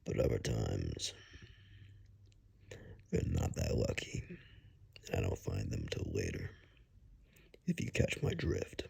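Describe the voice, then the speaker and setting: A man playing a gruff, wry forest ranger and monster hunter in an ASMR roleplay, speaking in a hushed, halting way with dry humor. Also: huge pitch swings, exaggerated voice. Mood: grim, dry. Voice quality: very deep, gravelly, husky. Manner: slow, halting, hushed, dryly joking. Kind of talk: ASMR roleplay, one-sided conversation.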